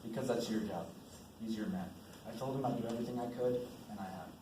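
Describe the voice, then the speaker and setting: A man's voice: mid-range voice, fast talker, lightly acted. A young man in a school play acting a reluctant, easily needled young army sergeant. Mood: anxious, subdued. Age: young adult. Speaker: student actor in a one-act school play. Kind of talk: amateur stage acting. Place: indoor stage, recorded from the audience. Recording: roomy echoing stage recording, loud noise.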